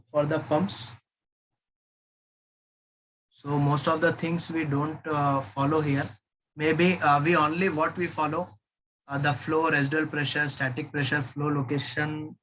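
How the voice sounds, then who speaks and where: An Indian-accented man with a slightly high voice works through a technical document like an instructor. His delivery is informal and halting, circling back over points as he explains. There is some faint noise in the recording. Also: little pitch movement, fairly bright tone, rough recording. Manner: halting, repetitive, explanatory, with checking tags.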